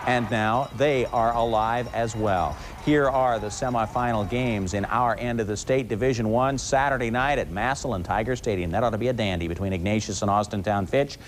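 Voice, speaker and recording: A male sports anchor calls highlights in a colorful, folksy way. His voice sits at an average pitch for a man. There is faint noise behind him.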